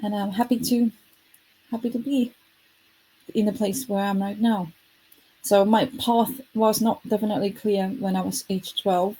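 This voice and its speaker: Mellow, husky woman. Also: medium pitch, fairly even intonation, moderate pace, poor audio. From Estonia. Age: 30s. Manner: earnest, self-correcting, lightly self-deprecating. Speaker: futurist presenting her product. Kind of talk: live online presentation.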